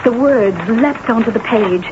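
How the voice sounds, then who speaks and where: An actress playing a self-absorbed, temperamental, envious novelist in a theatrical, gushing old-time radio drama style. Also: female voice, on the high side, a little slow, natural voice. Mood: excited, dramatic. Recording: dull, noisy old radio broadcast.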